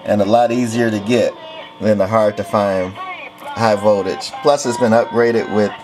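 A man with a lowish voice talks through a piece of equipment. His phrases are short and matter-of-fact, like a casual seller's demonstration. Faint sound plays behind him, picked up along with him indoors. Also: steady tone, measured pace, music in the background, low-quality recording. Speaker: radio seller showing equipment. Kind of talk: casual product walk-through.

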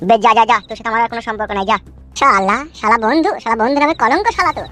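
A man's voice: very high-pitched, animated, very fast, bright, forceful tone, soft background music, exaggerated voice. A man voicing a hot-headed, foul-mouthed young village man in a comic cartoon, in slangy rural West Bengal dialect. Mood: indignant, hurt. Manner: brash, slangy bickering.

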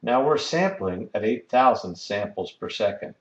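A man's voice: lowish voice, lively intonation, moderate pace, echoey room, muffled recording. A man giving a methodical, step-by-step technical lesson as an instructor. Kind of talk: explanatory screen-recorded lesson.

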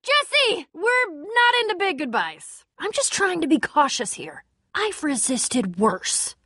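A woman playing a feisty, sardonic young warrior in a video game, with a wry, expressive delivery. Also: extremely high pitch, slow, dry recording, studio quality, full character voice. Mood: wary. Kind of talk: animated game voice acting.

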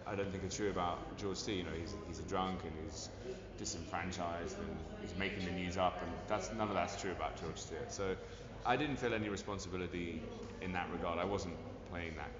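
A man in his forties, an actor giving measured, deliberate interview answers, seated indoors before a distant camera. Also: low voice, fairly even intonation, loud music behind the voice. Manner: measured, deliberate, with "you know". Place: indoor room on a sofa. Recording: echoey hall, dull sound, crowd noise.

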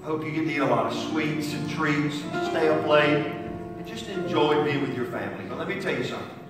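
A man's voice: on the high side, brisk. A middle-aged American man, a pastor speaking simply and directly to children in a church sanctuary. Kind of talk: children's sermon with Bible reading and prayer. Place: large church sanctuary stage, indoors. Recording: echoey hall from far off, music behind. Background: instrumental music.

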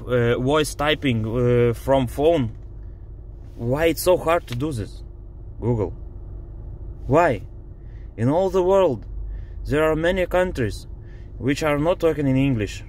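A man with a Lithuanian accent, a native Lithuanian speaker, complains in a car about voice input while showing his phone and dashboard screen. He speaks in halting English with many fillers and sounds frustrated. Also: fairly high pitch, a little mellow, slight background noise. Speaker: driver demonstrating a car app. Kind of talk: casual complaint video. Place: inside a parked car.

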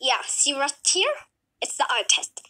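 A woman voicing a calm, soothing doctor in a homemade comedy skit, speaking slowly and hesitantly. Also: high voice, heavily acted. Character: low-voiced calm doctor, adult.

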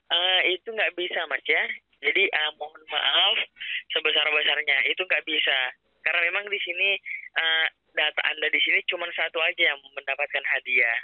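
A man's voice: a little high, normal speed, no echo. Man on a tinny phone line. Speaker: phone caller claiming to be a prize official. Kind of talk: persuasive phone pitch. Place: on a phone call. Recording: narrow, dull phone line.

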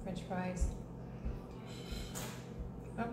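A woman in her forties chatting casually as she cooks dinner in her home kitchen and talks about the meal as she goes. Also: very slow. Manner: chatty, matter-of-fact, talks while cooking and moving about. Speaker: home cooking vlogger. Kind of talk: casual cook-along narration. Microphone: camera on the counter, speaker moving around. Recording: echoey kitchen, distant from camera, loud background noise.

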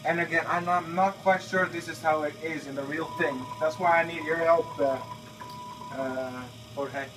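A man's voice: fairly high pitch, fairly even intonation, normal speed, distant mic, background noise. A man in his thirties from Norway, chatting casually in his home-built cockpit simulator, full of fillers and restarts while his son films. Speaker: flight simulator builder. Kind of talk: casual video request. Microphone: camera held by his son a few feet away.

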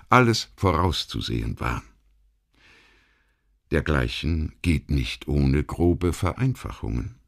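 A man with a very low-pitched voice narrates a documentary audiobook. He reads from a script in a measured, formal manner. The delivery is that of a thoughtful essay read aloud.

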